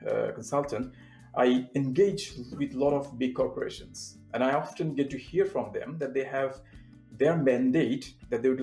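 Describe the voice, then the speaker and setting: A young man from Bangladesh speaks in a steady, measured way, an occasional filler slipping in. His voice sits at an ordinary middle pitch. The room sounds roomy, with faint music underneath. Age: young adult. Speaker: sustainability consultant. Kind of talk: prepared remote talk. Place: indoor room with plain wall.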